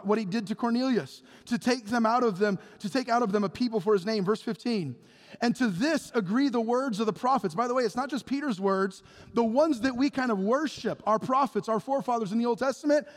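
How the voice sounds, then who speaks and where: A man preaching a sermon with passion, acting out Bible figures in quick bits of dialogue. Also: sits high. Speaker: pastor. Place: church sanctuary. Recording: long hall reverb.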